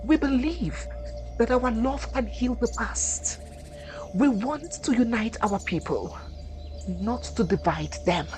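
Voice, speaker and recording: A woman's voice: fairly high pitch, expressive, slow, darkish tone, faint music behind, pitched above the performer's own voice. A woman voicing a brave young lover in a folktale, earnest and pleading.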